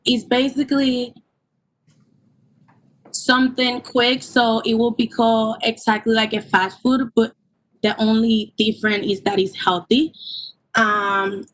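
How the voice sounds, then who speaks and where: A woman of twenty-one, explaining her business plan earnestly at a public hearing, with halting ums, over a call line. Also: on the high side, a bit bright, low-quality recording. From Puerto Rico. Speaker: permit applicant. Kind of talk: remote public hearing testimony.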